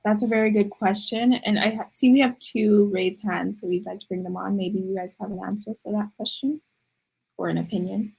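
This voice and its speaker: A woman's voice: mid-range voice, fairly even intonation, brisk, gravelly, a little mellow, husky, poor audio. A woman moderating an online youth panel, polite and encouraging as she brings people on. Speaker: session moderator.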